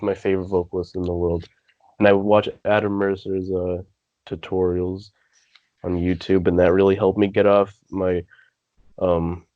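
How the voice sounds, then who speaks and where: Deep-voiced teen guest on a dull line. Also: male voice, darkish tone, no echo. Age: teens. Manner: earnest, rambling. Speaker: guest musician. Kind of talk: casual interview. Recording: dull, dry remote line.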